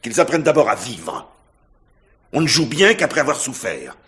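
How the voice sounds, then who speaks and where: A man's voice: medium pitch, big pitch swings, moderate pace, fairly bright tone. A man playing a cynical, self-absorbed older stage star in a radio mystery, theatrical and scornful in emphatic phrases. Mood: scornful, bitter. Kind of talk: 1950s French radio mystery acting.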